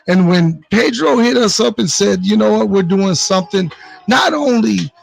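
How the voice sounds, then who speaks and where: A man's voice: high voice, expressive, normal speed, gravelly. A man speaking as a guest promoter, with emphatic, slangy hype talk that often repeats phrases for effect.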